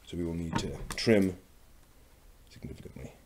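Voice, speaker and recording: A man casually thinking aloud as he works on a craft, muttering to himself in short, unhurried phrases. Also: deep voice, steady tone, noisy background. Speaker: maker hosting a craft workshop video.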